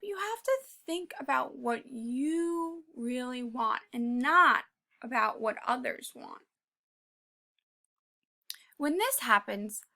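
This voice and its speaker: Bright, high-voiced woman reading to kids. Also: vocal fry. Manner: encouraging, talks directly to young listeners; drops to a whisper at quiet moments. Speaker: teacher reading a picture book aloud to children. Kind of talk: read-aloud with commentary for kids.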